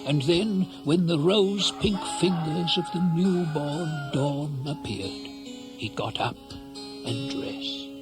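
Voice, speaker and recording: An actor playing a knowing storyteller who narrates a 1970s radio drama with conversational asides. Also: male voice, on the high side, takes it slowly, music in the background. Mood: relishing, intimate. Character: knowing, digressive male storyteller.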